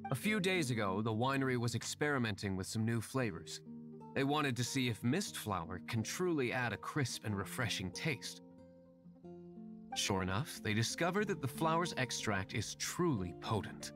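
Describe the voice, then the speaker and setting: A man playing a composed, brooding wealthy young nobleman in a video game, speaking formally and with measured phrasing. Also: mid-range voice, sing-song, lightly acted. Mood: calm, sly. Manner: formal, measured, well-spoken. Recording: music playing behind the voice.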